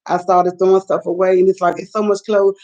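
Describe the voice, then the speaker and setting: A woman's voice: mid-range voice, little pitch movement, fairly quick, a little mellow. A woman, rambling through a late-night live chat and reacting to viewers' comments. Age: 50s. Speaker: livestream host. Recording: dull lo-fi sound.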